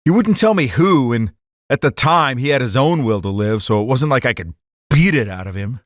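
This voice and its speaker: A man's voice: medium pitch, lively intonation, moderate pace, dry recording, clean studio sound, lightly acted. A man playing a hot-headed card-cheating gangster telling his story in slangy 1940s radio drama style. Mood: narrating, bitter.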